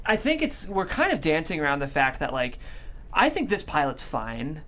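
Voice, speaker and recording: A woman's voice: deep voice, very animated, moderate pace, a bit bright. A woman chatting casually as a panelist, giving her analysis of a television script. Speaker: panelist on a discussion show.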